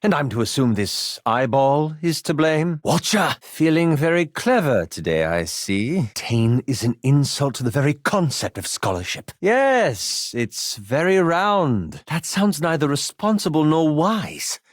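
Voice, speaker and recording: Game role: a bookish elf wizard, lightish voice, very expressive pitch, prim and sardonic, recorded dry. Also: male voice, a little slow, few pauses, no room sound. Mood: scholarly, wry. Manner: precise, formal, dry and exasperated diction. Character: prim, scholarly, wryly sarcastic male elf wizard. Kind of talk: video game character dialogue.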